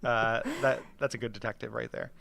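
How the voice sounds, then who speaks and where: A man's voice: mid-range voice, fairly even intonation, brisk, gravelly, fairly bright tone. A man chatting casually as a podcast guest, laughing often and filling with likes and ums. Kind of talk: casual chat. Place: Portland.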